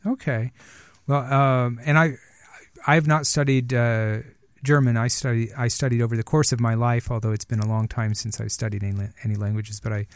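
A man's voice: mid-range voice, gravelly, no echo. Middle-aged man hosting an interview, dry sound. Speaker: radio interview host. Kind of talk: conversational interview.

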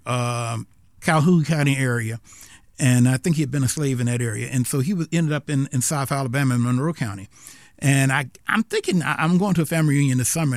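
A man's voice: mid-range voice, no room sound, clean studio sound. An older man from South Carolina, a retiring history professor, telling his story in a rambling way, with many restarts and fillers. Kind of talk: unscripted interview.

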